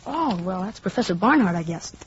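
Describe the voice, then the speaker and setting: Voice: mid-range voice, animated, fairly quick, natural voice. A performer playing an eager, chatty young boy with an informal, wide-eyed gee-whiz manner in a 1950s radio drama. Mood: friendly, eager. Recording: dull, noisy 1950s radio recording.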